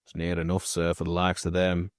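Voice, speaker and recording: Man doing a stubborn rustic servant, deep and dry, storybook reading. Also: completely flat delivery, a little fast, no room sound, natural voice. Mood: wary. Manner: rustic dialect, low firm tones. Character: wary, stubborn country manservant.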